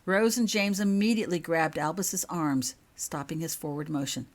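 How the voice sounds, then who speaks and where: A woman narrating fiction in an expressive storybook style, reading as the author of the tale. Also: deep voice, normal speed.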